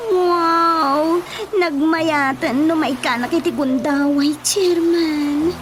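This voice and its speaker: A woman playing an excitable, giggly village woman in an Ilocano radio comedy, with drawn-out, exclamatory reactions and an Ilocano accent. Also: high voice, background noise. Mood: thrilled.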